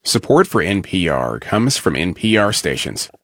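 A man's voice: sits low, sing-song, moderate pace, fairly bright tone, no room sound, studio quality. A man reading a scripted sponsor credit announcement for radio.

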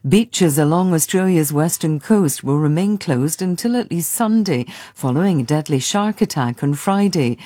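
A woman reads a radio news bulletin in a formal, measured style. Her voice is very low and mellow. The studio sound is dry and close. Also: dry recording. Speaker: newsreader. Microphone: studio mic.

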